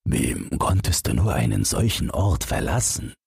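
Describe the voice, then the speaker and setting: Man as a slow, husky, naive snowman. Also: a bass voice, sing-song, a little mellow, no echo, studio quality, full character voice. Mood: wistful, curious. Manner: wondering, questioning. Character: naive newborn male snowman, curious and wistful. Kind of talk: storybook character voice.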